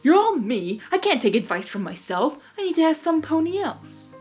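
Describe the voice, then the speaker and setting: Woman as male storybook chaos villain: petulant, boastful, high-pitched, wildly swooping delivery. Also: very animated, moderate pace, a little mellow, soft background music. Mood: indignant. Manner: exasperated, melodramatic, boastful. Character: petulant, theatrical male chaos creature, self-important villain.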